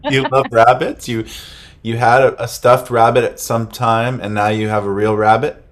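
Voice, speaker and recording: A man interviewing casually, halting and restarting often. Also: mid-range voice, level tone.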